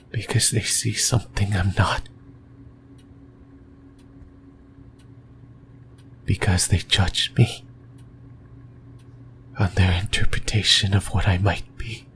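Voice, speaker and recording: A man voicing a lonely, possessive demon in a breathy, brooding whisper for an ASMR roleplay. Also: sits low, steady tone, a bit bright, a little hiss or hum. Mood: anguished, fearful. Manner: slow, halting, brooding delivery. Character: lonely, possessive sleep paralysis demon, lost and yearning.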